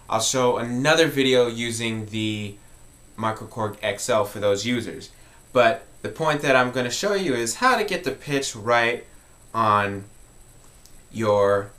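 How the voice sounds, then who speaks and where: A man's voice: mid-range voice, fairly bright tone. A man talking informally as he hosts an instructional music tutorial.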